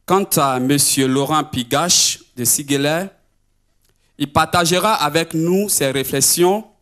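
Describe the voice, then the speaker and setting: Guy hosting a conference session, really slow and formal, reading out a prepared intro. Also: a little high, a bit bright. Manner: formal, measured, rhetorical. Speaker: seminar session host. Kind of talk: formal scripted introduction of speakers.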